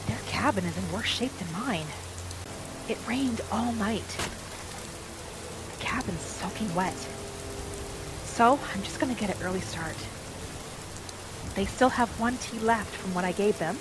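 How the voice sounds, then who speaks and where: A woman playing a gentle, homesick wood elf wanderer who thinks aloud softly in a first-person video game roleplay. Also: mid-range voice, unhurried, long pauses, background noise, lightly acted. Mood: calm, reflective. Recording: music and rain behind the voice. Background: game music and rain.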